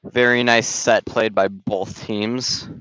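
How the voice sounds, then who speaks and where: A young man doing amateur play-by-play for a volleyball game over a headset, his voice slightly raspy, casual and halting with restarts. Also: fairly low pitch, steady tone, a bit bright, dry recording. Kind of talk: amateur sports commentary. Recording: dull, dry gym-stream audio.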